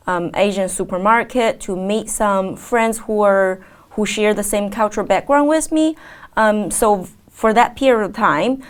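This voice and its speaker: A woman's voice: medium pitch, clean tone. Chinese-born woman answering interview questions. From China. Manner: thoughtful, many fillers and pauses. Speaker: guest, teacher.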